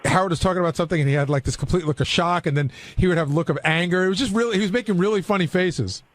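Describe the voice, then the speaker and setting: A man's voice: high-pitched, rapid-fire, slight background noise. A man talking casually as a panelist on a radio show, candid and ready to argue his point.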